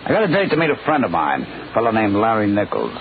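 A man acting a smooth-talking gangster in a 1940s-style radio mystery, falsely sweet and threatening. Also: lowish voice, wildly swooping pitch, fast talker. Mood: falsely casual. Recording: tinny, noisy old-time radio recording.

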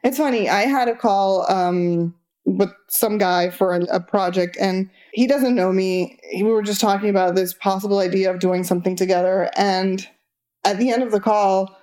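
A young woman from Argentina, with Spanish as her first language, speaks openly in a casual interview. She pads her sentences with "like" and her voice jumps higher when she gets exasperated. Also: moderate pace. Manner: candid, many "like" fillers, lively rises when exasperated. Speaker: interview guest.

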